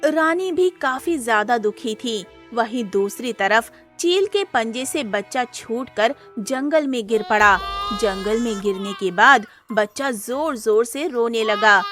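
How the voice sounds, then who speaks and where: Slow, higher-pitched woman storyteller. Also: animated, fairly bright tone, soft background music. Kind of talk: scripted moral-story narration.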